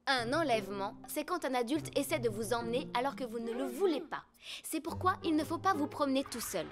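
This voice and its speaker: A woman voicing a patient female schoolteacher in a cartoon dub, explaining safety rules clearly. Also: high-pitched, expressive, a little fast. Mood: instructive. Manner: clear, instructive. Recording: music behind.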